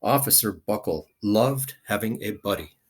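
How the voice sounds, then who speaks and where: A man's voice: lowish voice, expressive, normal speed. A man reading a children's picture book aloud expressively, with dramatic emphasis.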